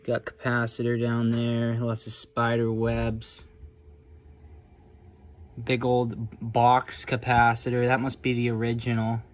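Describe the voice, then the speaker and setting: A man's voice: medium pitch, completely flat delivery, slow. Man talking casually through a repair. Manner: informal, thinking aloud. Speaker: hobbyist radio repairer. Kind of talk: casual hands-on repair commentary. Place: indoors.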